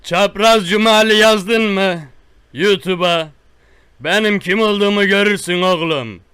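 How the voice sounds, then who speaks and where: Prank-call menace: man playing a boastful country tough guy, high bright voice, unhurried threats. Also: clean tone, no room sound, studio quality. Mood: menacing. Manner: slow drawn-out threats, repetitive. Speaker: prank caller. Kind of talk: one-sided prank call.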